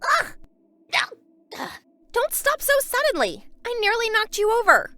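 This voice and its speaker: A woman's voice: extremely high pitch, wildly swooping pitch, measured pace, bright, forceful tone, a little hiss or hum, exaggerated voice. A woman voicing a gallant, dramatic royal protector with formal, courtly phrasing in a fan dub. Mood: startled, annoyed.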